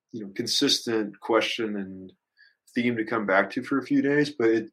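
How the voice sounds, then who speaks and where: Low-voiced, thoughtful young man. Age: early 20s. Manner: rambling, youthful slang, thoughtful. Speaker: musician guest. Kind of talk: casual reflective interview chat.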